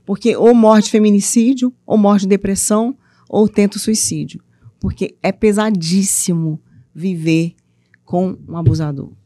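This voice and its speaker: A woman's voice: medium pitch, moderate pace, no echo, clean studio sound. A middle-aged woman from Minas Gerais with a mineiro accent, telling her story as an interview guest and acting out what people said. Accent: Minas Gerais Portuguese. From Minas Gerais, Brazil. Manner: animated, acts out quotes, playful teasing. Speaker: guest, author and mentor for women.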